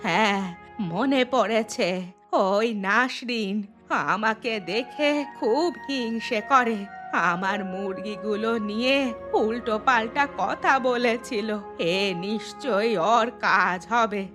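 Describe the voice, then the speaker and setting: Woman as a slow, plaintive old village woman. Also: a little high. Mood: bitter suspicion. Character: wronged, tearful, suspicious old village woman. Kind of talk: cartoon story acting. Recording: music under the voice.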